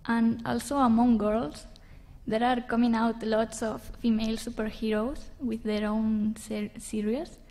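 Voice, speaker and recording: A young woman giving a careful, halting answer as a panelist at a conference. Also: on the high side, flat delivery, darkish tone. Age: young adult. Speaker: panelist, comic creator. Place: conference room stage. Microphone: table microphone on stand.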